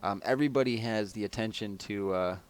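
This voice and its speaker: A man with a low, raspy baritone voice hosting a podcast, chatting loosely as he answers listener questions over a headset mic. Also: fairly quick. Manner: loose, rambling delivery. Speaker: podcast host.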